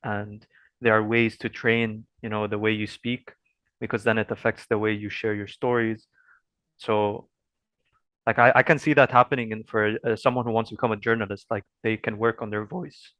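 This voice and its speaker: Low-voiced man. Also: little pitch movement, normal speed, no echo, lo-fi sound. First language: Arabic. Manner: rambling with fillers. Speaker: interviewer. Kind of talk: casual interview talk.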